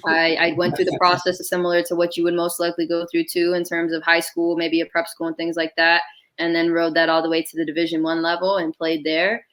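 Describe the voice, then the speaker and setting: A woman's voice: lowish voice, completely flat delivery, brisk, a bit bright, rough recording. A young Canadian woman co-hosting in a casual conversational style. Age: young adult. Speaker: co-host, former basketball player. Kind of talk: casual self-introduction.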